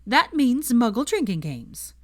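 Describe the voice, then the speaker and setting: Woman as an excitable young witch, sassy, blunt, bossy, high voice, wildly lively intonation. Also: a little slow, dry recording, clean studio sound. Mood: insistent.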